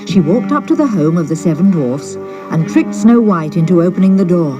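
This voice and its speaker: A woman narrating a fairytale audiobook in an expressive, scripted storytelling style. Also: fairly low pitch, brisk. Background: music.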